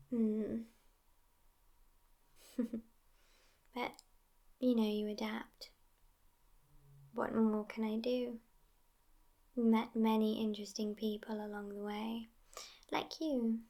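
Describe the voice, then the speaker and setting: A woman plays a sassy immortal vampire girlfriend in an intimate ASMR roleplay. Her breathy whisper is slow and creaky at the edges. The delivery stays level, world-weary and teasing. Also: fairly high pitch. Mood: wistful, intimate. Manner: unhurried, soft, intimate whispering.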